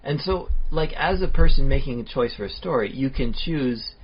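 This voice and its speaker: A man's voice: mid-range voice, expressive, normal speed, slight background noise. A man co-hosting a podcast, rambling through his points in a casual chat. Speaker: podcast co-host. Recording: dull lo-fi podcast audio.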